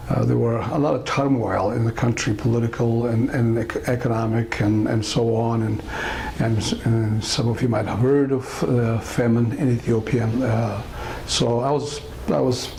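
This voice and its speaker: An older man from Eritrea, telling his life story in an interview, pausing often with fillers and restarts. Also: mid-range voice, gravelly, a little mellow, a little hiss or hum, poor audio. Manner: halting, many fillers and restarts. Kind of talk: casual interview testimony.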